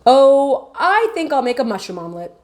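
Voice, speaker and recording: A woman's voice: high voice, big pitch swings, moderate pace, voice pushed up. A woman voicing a cheerful, bumbling father in a storybook read-aloud, upbeat and coaxing.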